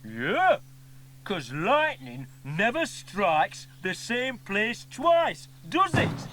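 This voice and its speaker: A man's voice: high-pitched, sing-song, drawn-out words, bright, forceful tone, pitched above the performer's own voice, full character voice, low-quality recording. A man voicing a clumsy, cheeky odd-job helper in a children's cartoon, comic and colloquial. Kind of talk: children's cartoon character acting.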